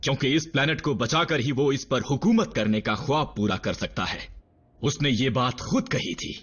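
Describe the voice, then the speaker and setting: A man's voice: medium pitch, normal speed, a bit bright, breathy edge, muffled recording. A man voicing a solemn, earnest robot telling of past events in an action cartoon dub. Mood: wary. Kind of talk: Hindi-dubbed action cartoon acting, storytelling.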